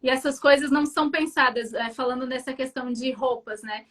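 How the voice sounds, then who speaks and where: High-voiced woman explaining patiently. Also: fairly even intonation, brisk, a bit bright. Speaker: guest, professional organizer. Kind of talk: explanatory interview answers.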